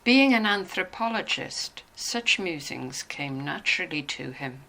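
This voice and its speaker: A woman's voice: fairly low pitch, expressive, a little slow, gravelly, bright, forceful tone, low-quality recording. A woman reading scripted narration from a novel.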